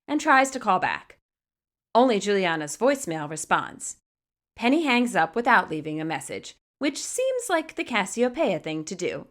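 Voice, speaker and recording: Animated woman narrating a story. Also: mid-range voice. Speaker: fan fiction narrator. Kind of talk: expressive scripted story narration.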